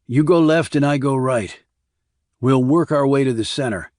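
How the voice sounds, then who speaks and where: A man voicing a terse, authoritative, steady former army investigator in audiobook dialogue. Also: mid-range voice, moderate pace, no echo, studio quality, pitched above the performer's own voice, lightly acted. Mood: brisk, commanding.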